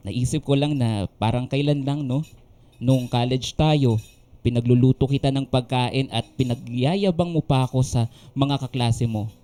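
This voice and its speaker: A man playing a tender, gently teasing young husband and father in a radio drama, over music. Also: mid-range voice, moderate pace, close to an everyday voice. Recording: music under the voice.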